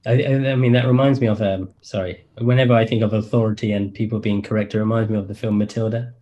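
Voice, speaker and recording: A man moderating a casual panel, thoughtfully gathering up and summing up what the others have said between frequent ums. His voice is fairly low and mellow and rather dark in tone. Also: fairly even intonation, fast talker, highs cut off. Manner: thoughtful, picks up and sums up points, frequent ums.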